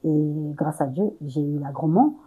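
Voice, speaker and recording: A woman's voice: low-pitched, a little slow, breathy edge, narrow, tinny audio. A young woman from Congo answering interview questions, hesitant, searching for words with many fillers and restarts. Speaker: entrepreneur interview guest.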